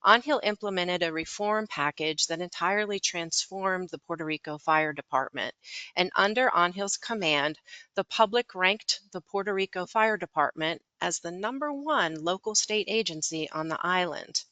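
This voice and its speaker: A woman with a bright, crisp voice reads a formal introduction of the speakers. She sounds polished and welcoming, and the sound is close and dry. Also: lowish voice, no room sound, rough recording. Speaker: meeting moderator. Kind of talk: reading a scripted speaker introduction.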